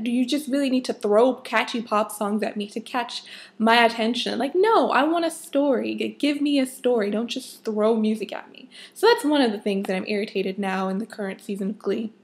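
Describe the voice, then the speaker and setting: A young adult woman with a slightly hoarse, husky voice, giving a casual, emphatic rant as a vlogger. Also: a little high. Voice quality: a bit husky. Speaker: vlogger, fan of a TV show.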